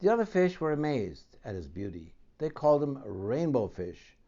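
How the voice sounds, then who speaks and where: Mellow man reading a children's story. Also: medium pitch, big pitch swings, normal speed, muffled recording. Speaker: storybook reader, host.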